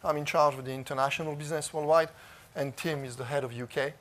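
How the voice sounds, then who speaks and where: A man with a clear French accent talks to a conference audience in a fairly high voice. He speaks English as a second language and gropes for words, stopping and restarting often. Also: fairly even intonation, a bit bright. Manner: halting, many fillers and restarts. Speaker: conference presenter. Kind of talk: conference talk. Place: conference stage.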